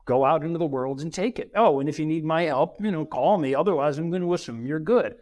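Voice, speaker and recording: A middle-aged man, a podcast guest, telling career stories in an animated way and acting out quoted dialogue. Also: fairly high pitch, quick pace, no echo. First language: bilingual, Brazilian parents. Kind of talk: conversational interview storytelling.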